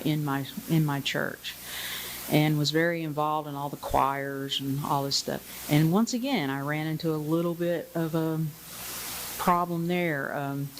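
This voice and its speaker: Low-voiced older woman. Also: slight background noise, low-quality recording. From Bristol, Tennessee area. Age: 60s. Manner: conversational, chuckles lightly, many fillers. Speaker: church member sharing her story. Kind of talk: personal testimony. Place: church sanctuary. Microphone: pulpit microphone.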